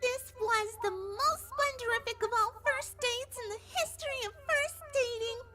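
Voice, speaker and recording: A woman's voice: very high voice, moderate pace. A woman voicing a cheerful, morbidly playful young female cartoon pony in a fan fiction audio drama, perky and gushing. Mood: adoring. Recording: loud music behind the voice.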